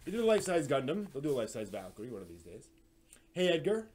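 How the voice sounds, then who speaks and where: A man hosting a casual live stream, explaining hobby projects in a halting, thinking-aloud way. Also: medium pitch, big pitch swings, darkish tone.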